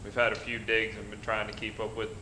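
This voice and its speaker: A middle-aged man giving a plain, halting department report at a city council meeting, with many pauses. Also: lowish voice, completely flat delivery, sharp tone, slight background noise. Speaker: council member. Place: council chamber at a dais. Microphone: desk mic. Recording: roomy council chamber.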